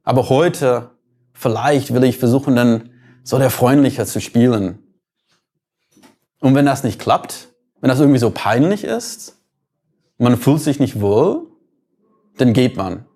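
A man's voice: mid-range voice, animated, a little slow, long pauses, mellow. A man from the United States with an American accent, giving an explanatory lecture, thoughtful and sometimes searching for words.

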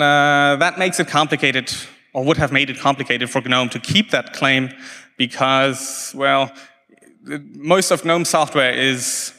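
Halting German-speaking man in a hall. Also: medium pitch, pushed and bright. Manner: halting, many restarts and fillers. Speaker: conference speaker. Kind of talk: conference talk. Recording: hall reverb from a talk venue.

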